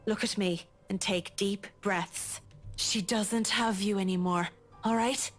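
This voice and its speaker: A man voicing a gentle young woman who reassures a friend in a soft, soothing way. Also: sits high, steady tone, slow pace, soft background music, voice pushed up.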